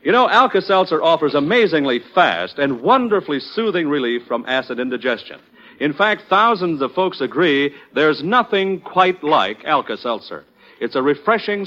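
A man's voice: fairly high pitch, pushed and bright, dull audio. An American man announcing in 1940s radio advertising style, with hearty, folksy salesmanship, on a vintage recording.

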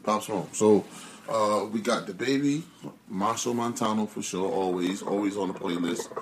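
A young man chatting casually in a relaxed, teasing way. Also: lowish voice, moderate pace, a little hiss or hum, rough recording. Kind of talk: casual chat.

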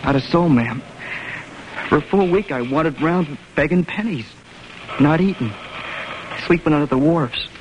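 A man's voice: on the high side, normal speed, pauses often, muffled recording, background noise, close to an everyday voice. An actor playing a frightened, respectful fifteen-year-old sailor boy in an old radio drama. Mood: earnest. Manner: earnest, deferential. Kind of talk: 1940s radio acting.

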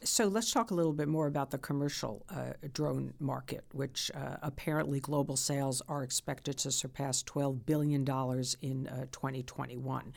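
Guy hosting an interview, creaky gravelly voice, mid pitch, chatty questioning. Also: fairly even intonation, normal speed, hardly any pauses. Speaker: interviewer, host.

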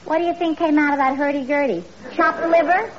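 A woman's voice: high-pitched, fairly even intonation, brisk, close to an everyday voice. An actress playing a brassy, dim, argumentative New woman in a 1940s radio comedy. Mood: defiant. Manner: brassy, earnest malapropisms, combative. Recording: muffled old radio broadcast, noisy, studio audience.

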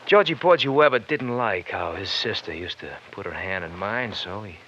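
A bitter young man from a radio crime drama, very deep yet sharp-edged. He wisecracks in slangy, mocking patter. The old recording is dull with faint noise. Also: lively intonation, normal speed. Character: bitter, sardonic young bowling-alley man, failed lawyer.

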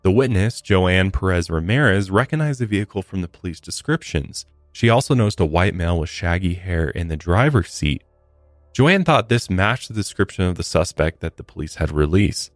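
A man's voice: deep voice, animated, moderate pace, no echo, faint music behind. A man co-hosting a true crime podcast, recounting case details in a casual, conversational way with blunt asides.

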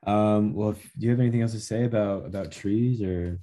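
A man hosting a podcast, relaxed in his talk and joking easily with his guest. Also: fairly low pitch, a little fast. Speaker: podcast host. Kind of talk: casual chat.

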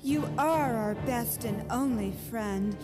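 A woman's voice: on the high side, slow, music in the background. An actress playing a haughty, volatile female android in 1940s radio style. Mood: scornful.

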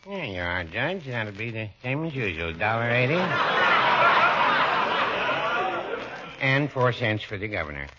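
An American actor playing a mild, cautious small-town druggist with a hesitant, dry delivery in a 1940s style radio comedy. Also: male voice, fairly low pitch, big pitch swings, brisk, loud music behind the voice, over a noisy crowd. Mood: dryly obliging. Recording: dull old radio recording.

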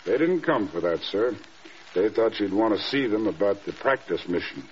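An actor with an American accent playing a dutiful, measured army major in an old-time radio drama. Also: male voice, fairly low pitch, lively intonation, slight background noise, natural voice. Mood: respectful. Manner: deferential, measured. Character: dutiful, unruffled male army major, staff adjutant. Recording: dull old radio recording.

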